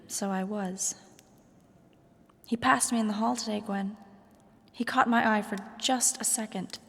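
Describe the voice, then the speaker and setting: A woman's voice: mid-range voice, fairly even intonation, unhurried, gravelly, a bit bright, husky, heavily acted. An actress playing a troubled but resolute noble lady in a fantasy audio drama, with earnest, formal diction. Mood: troubled, bitter. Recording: long room reverb.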